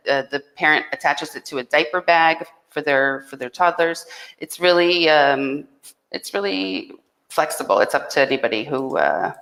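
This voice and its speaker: A woman's voice: low-pitched, a bit bright, poor audio. A woman co-hosting a casual live chat as a company rep, explaining things in an upbeat way.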